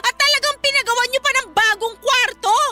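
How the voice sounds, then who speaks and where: A woman's voice: very high voice, brisk, sharp tone, full character voice. A woman playing an exasperated, pleading grown child confronting a parent in an emotional Tagalog radio drama. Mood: frustrated. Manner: emotional, respectful yet confrontational. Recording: music underneath.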